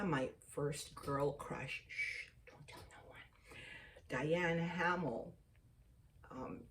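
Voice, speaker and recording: A woman's voice: low voice, far from the mic. A woman in her fifties whose first language is Tagalog, hosting a chatty vlog and dropping into whispered asides. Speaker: vlog host. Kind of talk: casual chat.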